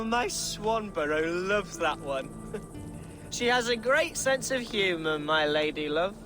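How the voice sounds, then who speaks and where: A man's voice: very high-pitched, heavily acted. An actor playing an eager young Saxon nobleman, jovial and a little bumbling, in a game cutscene. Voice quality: lively, jovial, a bit bumbling. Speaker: game character. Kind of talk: video game cutscene acting. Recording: game audio with loud music.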